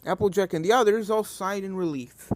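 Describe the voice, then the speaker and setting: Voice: mid-range voice, fairly quick. A narrator reading a scripted fan fiction story aloud.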